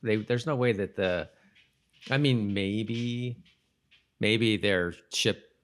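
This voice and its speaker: Man with a lowish voice, chatting casually. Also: slow. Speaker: podcast co-host. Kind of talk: casual chat about a TV episode.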